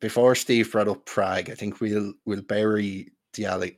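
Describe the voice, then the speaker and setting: Irish male podcast host, lower voice, blunt sweary storyteller, close dry sound. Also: fairly even intonation, moderate pace, no echo. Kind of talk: casual chat with friends.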